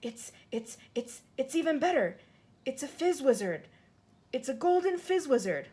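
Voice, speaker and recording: A woman voicing a kind, excitable male giant in a storybook reading, talking in jumbled grammar and made-up words. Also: a little high, normal speed. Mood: thrilled. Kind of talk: audiobook character voice.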